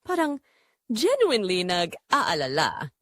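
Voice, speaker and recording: A woman's voice: lowish voice, wildly swooping pitch, takes it slowly, fairly bright tone. A woman narrating a scripted first-person romance story for an audio drama.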